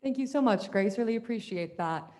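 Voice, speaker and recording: A woman's voice: a little high, lively intonation, fairly quick, darkish tone. A young woman chairing a student government meeting, effusive and upbeat, heaping praise on speakers. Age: young adult.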